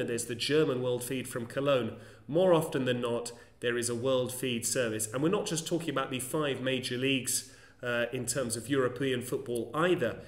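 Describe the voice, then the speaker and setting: A man speaks to camera in a measured, articulate way, his phrasing careful and a little formal. His voice sits at an ordinary middle pitch for a man. The room gives the recording a noticeable echo. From England. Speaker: conference interpreter and football commentator. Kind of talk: explanatory solo vlog monologue. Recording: roomy echo.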